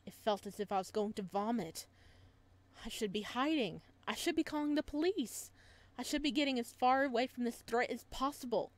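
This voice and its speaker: A woman's voice: on the high side, moderate pace. A woman playing through a visual novel, reading it aloud with dramatic narration, occasional stumbles and casual asides. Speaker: video game playthrough host.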